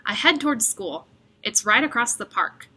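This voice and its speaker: A woman reading a children's picture book aloud as an expressive storyteller. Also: on the high side, normal speed, slightly rough.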